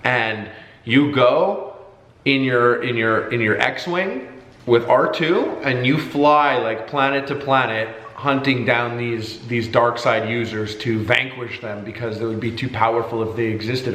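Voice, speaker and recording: A man records a casual vlog in a room with a clear echo. His pitch sits in the middle for a man, and he talks in a jokey, slangy way, swearing freely as he addresses his viewers. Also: unhurried, fairly bright tone. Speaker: vlogger.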